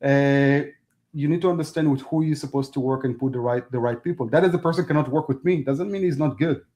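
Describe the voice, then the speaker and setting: A man whose first language is Hebrew, a guest talking casually in an interview, halting and restarting as he speaks in non-native phrasing. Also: medium pitch, dark tone. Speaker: podcast guest.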